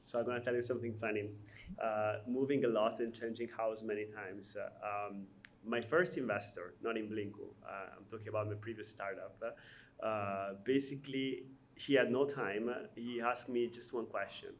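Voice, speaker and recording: A man hosts a relaxed founder chat. He talks in halting bursts full of "uh" and "you know", and laughs easily through his stories. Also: lowish voice. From Albania. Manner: hesitant, many fillers, laughs often while telling stories. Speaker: podcast host. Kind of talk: casual conversational interview.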